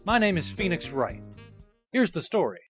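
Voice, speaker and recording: Earnest young rookie lawyer, acted by a man, lively pitch. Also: normal speed, music in the background. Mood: wry, earnest. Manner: hesitant, earnest. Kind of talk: game fandub acting and narration.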